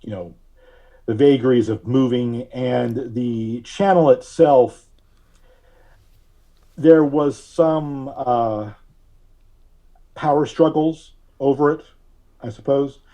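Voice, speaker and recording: A man speaking as a podcast guest, recalling things casually in a halting, deliberate way with frequent long hesitations. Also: medium pitch, warm tone, dull audio. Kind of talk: casual interview recollection.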